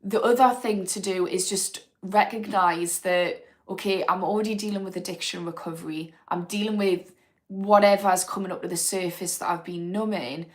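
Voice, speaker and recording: Woman, slightly low-pitched, casual and frank, livestream host answering comments. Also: steady tone, normal speed. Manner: chatty and frank, thinking aloud with fillers. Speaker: host of an addiction recovery channel.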